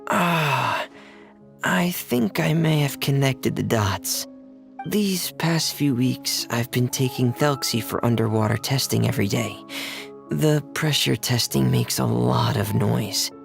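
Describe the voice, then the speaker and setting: A man playing a shy, reserved, gentle teenage boy in a video game cutscene, hesitant, polite and apologetic. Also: mid-range voice, animated, slow, creaky, faint music behind, full character voice. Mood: thoughtful, apologetic.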